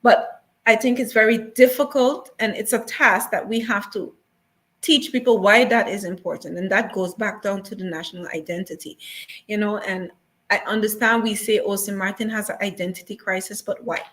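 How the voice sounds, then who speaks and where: A woman's voice: mid-range voice, fairly even intonation, moderate pace, a bit bright. A woman speaking as a guest on a talk show, earnest and repeating her points for emphasis. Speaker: museum guest. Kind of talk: casual talk show discussion.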